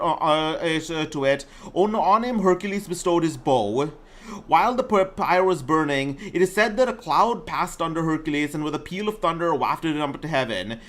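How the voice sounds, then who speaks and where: A man's voice: on the high side, fairly bright tone. A man streaming a game, reading aloud and commenting casually, often stumbling over words and repeating himself.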